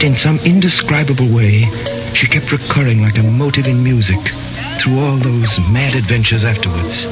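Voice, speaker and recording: A man narrating and playing the lead in a radio drama, lyrical and wry. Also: lowish voice. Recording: dull old radio recording with loud music.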